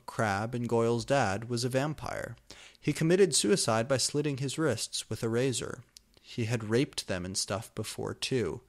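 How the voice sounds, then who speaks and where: A man narrating amateur fan fiction as a comic dramatic reading, with exaggerated, mock-serious emphasis. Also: medium pitch.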